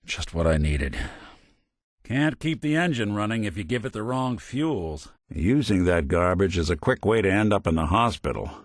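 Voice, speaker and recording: A man's voice: on the low side, animated, normal speed, no room sound, natural voice. A man voicing a wry, world-weary old synthetic detective in a video game, with a hard-boiled American drawl and dry sarcasm. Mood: dry, wisecracking. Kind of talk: video game character acting, noir detective.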